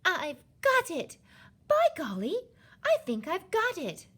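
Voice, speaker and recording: A woman's voice: on the high side, sing-song, unhurried, husky, pitched above the performer's own voice, exaggerated voice. A woman voicing a feisty, indignant, determined young girl from a children's storybook, speaking in emphatic, impassioned exclamations. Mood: excited. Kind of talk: children's storybook character reading.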